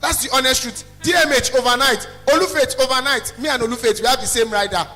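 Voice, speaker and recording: A young man with a Nigerian accent and a loud, carrying voice, giving an emphatic, blunt motivational talk as a business owner. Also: very high voice, quick pace, faint music behind. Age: young adult. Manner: emphatic, blunt, repeats phrases for effect. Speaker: small chops business owner, guest speaker. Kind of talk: motivational talk to a student audience.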